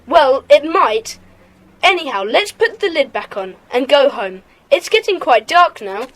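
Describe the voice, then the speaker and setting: High-voiced, bossy schoolboy gang leader. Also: female voice, lively intonation, measured pace, a bit bright. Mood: curious, decisive. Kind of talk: children's audiobook dramatisation.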